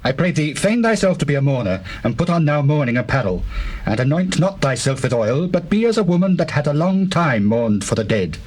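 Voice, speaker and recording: An actor playing an earnest servant of a king in a dramatized scripture recording, with a husky voice and formal archaic delivery. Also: fairly high pitch, very animated, fairly quick, a little mellow. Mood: urgent instruction. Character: earnest, deferential royal servant and schemer.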